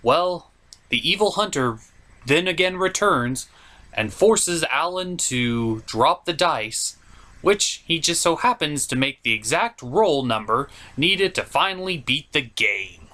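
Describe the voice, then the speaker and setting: Male comedic review host, slightly high voice with very lively swings, sarcastic and theatrical. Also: slow pace. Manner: theatrical, sarcastic delivery with mild swearing. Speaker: host of a puppet movie review show. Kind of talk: comedic scripted movie recap and review.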